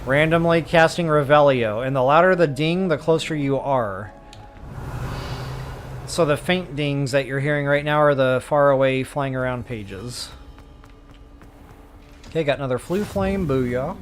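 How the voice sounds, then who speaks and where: A man's voice: medium pitch, unhurried, pauses often, soft background music. A man giving casual, chatty walkthrough commentary as a gaming streamer, addressing viewers informally.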